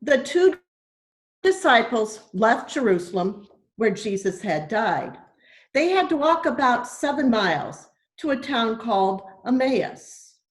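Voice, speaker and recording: A woman reading a Bible story aloud for children, in deliberate, simple phrases as a church storyteller. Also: on the high side, big pitch swings. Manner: deliberate, simple phrasing with questions to young listeners. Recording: echoey room.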